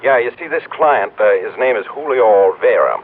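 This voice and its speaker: A man's voice: medium pitch, animated, moderate pace, slight background noise, lightly acted. An actor playing an earnest insurance office man, explaining in a folksy, hesitant way in radio drama. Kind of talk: old-time radio drama dialogue. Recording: muffled vintage radio, telephone call.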